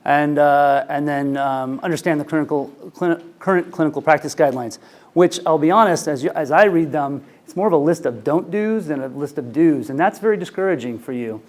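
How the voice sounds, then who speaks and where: A man's voice: fairly high pitch, fairly quick, a little mellow. A man in his forties, an ENT physician giving a conversational lecture to clinicians, speaking into a handheld microphone in a conference room. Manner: conversational, anecdotal, frequent fillers.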